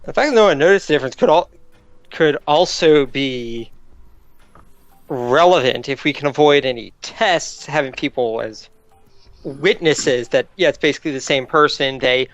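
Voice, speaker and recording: A man's voice: mid-range voice, normal speed, a bit bright, no room sound. A man playing a tabletop game, casually reasoning aloud in an analytical way as he discusses it. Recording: dull lo-fi mic, music behind.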